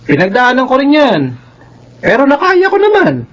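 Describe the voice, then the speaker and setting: A man's voice: very high voice, wildly swooping pitch, normal speed, fairly bright tone, heavily acted. A man playing a dismissive, nagging young older brother in a Filipino radio drama, with a Filipino accent. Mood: impatient.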